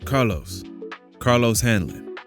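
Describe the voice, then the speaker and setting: A man's voice: medium pitch, lively intonation, slow pace, music in the background. A man playing a brash, wry, chatty caller in a casual audio drama. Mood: amused.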